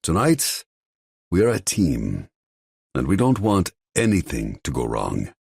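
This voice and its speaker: A stern, controlling father who issues hard warnings, put on by a man. Underneath is a very deep, gravelly voice. It is recorded close and dry. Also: huge pitch swings, measured pace, darkish tone, no room sound, exaggerated voice. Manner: commanding, warning.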